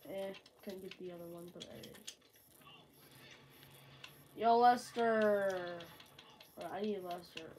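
Sick, slow-talking woman gaming. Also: medium pitch, darkish tone, slight background noise. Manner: slow, rambling, talks to self. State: sick, sore throat. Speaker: gamer. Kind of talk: casual gameplay commentary. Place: bedroom with TV.

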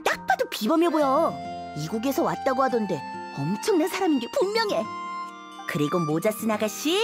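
High, upbeat young rocker girl. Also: sing-song, normal speed. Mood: friendly, admiring. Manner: upbeat, friendly, casual. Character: cheerful, friendly young rock musician woman. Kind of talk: anime game voice acting. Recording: music behind the voice.

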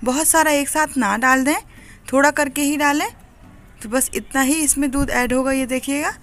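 A woman's voice: high voice, steady tone, moderate pace, fairly bright tone. A woman narrating a step-by-step recipe tutorial in an instructive, explanatory manner. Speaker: home cooking host. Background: music.

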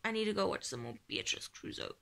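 A young woman in her twenties vlogging casually from bed, talking sleepily in a croaky, tired half-whisper into a phone held close. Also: medium pitch, very animated, fast talker, heavy background noise. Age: 20s. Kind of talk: casual vlog chat. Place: bedroom, lying in bed.

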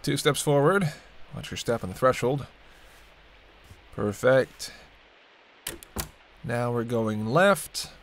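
A man's voice: medium pitch, animated, takes it slowly, long pauses, a little hiss or hum. A man playing a sardonic but protective vampire in an intimate roleplay audio drama, bantering and speaking straight to the listener. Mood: gentle, guiding. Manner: bantering, wry, murmured asides. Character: teasing, sardonic male vampire, centuries-weary but protective.

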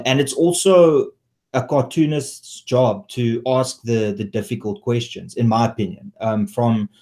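A South African man in his thirties hosting a conversational debate, speaking in a halting, measured way. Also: medium pitch, a little mellow. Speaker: host, interviewer. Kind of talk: conversational commentary. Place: indoor room, video call. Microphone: earphone headset on video call.

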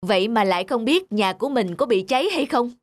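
An actor playing a sensible young peasant husband in an animated folk tale, reasoning in a firm, skeptical manner. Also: male voice, very high-pitched, a little fast, no room sound. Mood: challenging.

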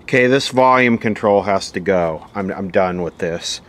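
A man's voice: low voice, normal speed, noisy background. A man giving casual, off-the-cuff workbench narration as a repair video host, rambling and muttering with a sardonic streak.

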